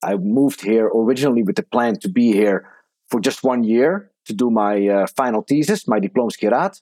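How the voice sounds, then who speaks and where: A man's voice: lowish voice, expressive, normal speed, mellow, dry recording. A man in his thirties from the Netherlands with a Dutch accent, a native Dutch speaker, answering conversationally as an interview guest. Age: 30s. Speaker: podcast guest, entrepreneur.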